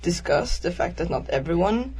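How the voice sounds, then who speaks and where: A woman's voice: on the low side, expressive, moderate pace, a little mellow, slight background noise. A young woman from Sweden, a volunteer guest presenting a topic on a radio chat, who speaks earnestly with frequent ums. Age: young adult.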